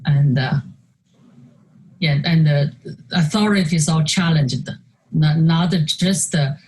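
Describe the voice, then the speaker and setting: Older woman, low voice, halting Chinese-accented English. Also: darkish tone, a little hiss or hum, rough recording. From China. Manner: halting, many fillers and restarts. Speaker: artist. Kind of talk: virtual artist talk presenting slides. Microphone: video call.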